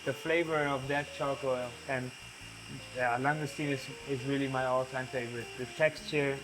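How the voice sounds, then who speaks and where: A man's voice: mid-range voice, steady tone, moderate pace, background noise. A man who is a guest chef, chatting casually while cooking in a kitchen, often restarting and repeating words as he talks enthusiastically about food.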